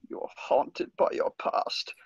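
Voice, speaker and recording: A man voicing an ancient alien Sith master in a fan table read, raspy and creaky, mocking and menacing in manner, over a video call. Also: a little mellow, no room sound, muffled recording, natural voice. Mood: commanding. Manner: slow, menacing, amused. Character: ancient spindly alien Sith master, seven thousand years old, mocking.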